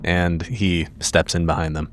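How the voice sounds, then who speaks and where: A man's voice: low-pitched, steady tone, measured pace. A man playing a tabletop horror game, casually improvising narration of what his character does.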